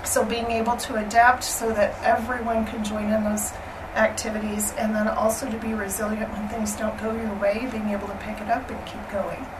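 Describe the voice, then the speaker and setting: A woman with a voice going hoarse gives a friendly, chatty slide presentation at a school board meeting, with many ums and throat clearing. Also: mid-range voice, normal speed. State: losing her voice, hoarse. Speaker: elementary school staff presenter. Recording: distant room mic with steady noise.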